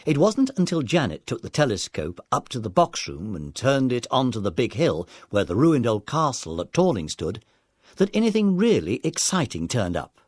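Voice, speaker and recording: A man's voice: medium pitch, sing-song, moderate pace, darkish tone, dry recording, clean studio sound. A man narrating a scripted children's audiobook story.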